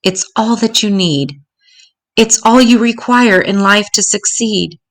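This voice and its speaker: A woman voicing a calm, kindly, mysterious holy man from a children's storybook, speaking in solemn measured rhyme. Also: medium pitch. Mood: calm, earnest.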